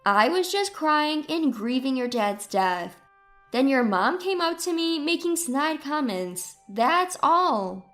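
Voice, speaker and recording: A woman's voice: high voice, very animated, slow, a bit bright, soft background music, full character voice. A woman voicing a wronged, outspoken daughter-in-law in a narrated family story, earnest and argumentative. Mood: defensive.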